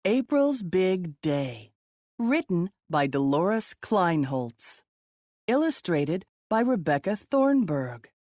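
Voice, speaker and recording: A woman narrates a children's story at an extremely unhurried pace. Her pitch moves in a lively, expressive way, with simple phrasing suited to young children. The recording is dry and close, with hardly any room sound. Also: dry recording.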